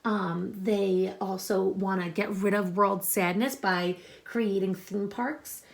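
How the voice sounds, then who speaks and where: A woman teacher reading a picture book aloud to children, expressive and instructive, pausing to explain and ask questions. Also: mid-range voice, vocal fry. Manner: deliberate, instructive, addressing young listeners with questions.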